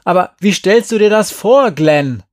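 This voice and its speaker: A man's voice: a little high, normal speed, dry recording, studio quality, lightly acted. A man voicing a feisty, streetwise young girl in an audiobook, brash, slangy and emphatic. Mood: angry sarcasm. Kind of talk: acted audiobook dialogue.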